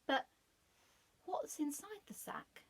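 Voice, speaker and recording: A woman voicing a kind, clever young mother in a children's story, speaking high and gentle. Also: expressive, takes it slowly, full character voice. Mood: curious.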